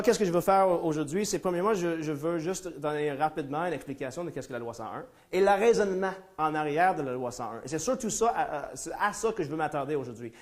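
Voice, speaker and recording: A man from Ontario whose first language is English, giving a live conference talk in French with hesitant, self-deprecating humor and many fillers and restarts. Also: fairly high pitch. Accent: English-speaking Ontarian speaking French. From Ontario, Canada. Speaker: lecturer. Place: lecture room before a small audience.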